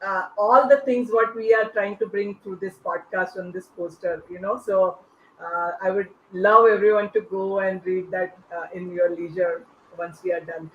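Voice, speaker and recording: A panel host of unclear sex speaking informally and a little haltingly while moderating questions. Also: mid-range voice, fairly even intonation, a bit bright. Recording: echoey, dull online call with faint noise.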